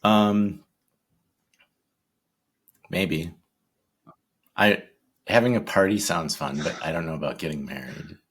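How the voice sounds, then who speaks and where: A man in his forties, a guest in a casual interview, talking in a shy, halting way with many ums and restarts. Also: low voice, steady tone, husky. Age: 40s.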